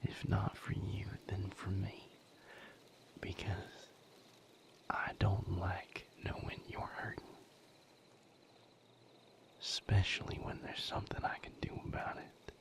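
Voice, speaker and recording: A man playing a protective, tender boyfriend in an intimate audio roleplay, speaking gently and earnestly in a breathy, creaky near-whisper. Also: low voice, completely flat delivery, heavily acted. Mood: tender pleading. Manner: slow, gentle, earnest, firm. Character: protective, tender male boyfriend tending his hurt partner.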